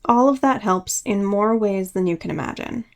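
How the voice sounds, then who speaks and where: A woman hosting a podcast in an informal, conversational way. Her voice is smooth and clear, recorded close and dry. She narrates personal material as if chatting with listeners. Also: mid-range voice, lively intonation, normal speed, no room sound. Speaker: podcast host. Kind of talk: scripted personal storytelling with casual asides.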